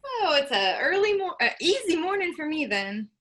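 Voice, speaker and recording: A woman reading a gentle grown farm daughter. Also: extremely high pitch, big pitch swings, normal speed, full character voice. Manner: soft, easygoing, gently teasing. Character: Candace, gentle grown daughter, patient and agreeable. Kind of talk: staged play reading.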